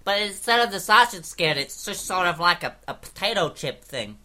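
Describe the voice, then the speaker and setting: A man's voice: sits high, lively intonation, normal speed, bright, forceful tone, full character voice. An English man playing a brash, boastful grown son who stands for America, talking in an offhand way.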